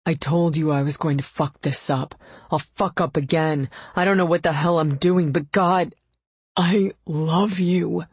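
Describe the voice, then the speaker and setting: A woman voicing an anguished, brooding man in an audiobook romance, raspy and halting as he pleads. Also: low voice, steady tone, darkish tone, no echo, full character voice. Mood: desperate, pleading. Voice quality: raspy, rough-throated. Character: anguished gruff man, desperate brooding lover.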